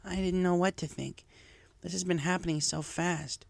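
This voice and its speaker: A man's voice: high-pitched, steady tone, normal speed, vocal fry, dry recording, voice pushed up. Man as a subdued young tomboy pony. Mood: downcast. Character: young tomboyish female pony, upset and guarded.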